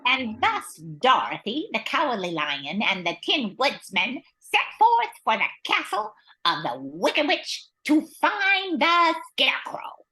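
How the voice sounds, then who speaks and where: A woman with a husky voice playing a storybook narrator in an amateur radio play. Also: sits high, wildly swooping pitch, slow pace, pushed and bright, exaggerated voice, poor audio. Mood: storytelling. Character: storybook narrator, easily exasperated.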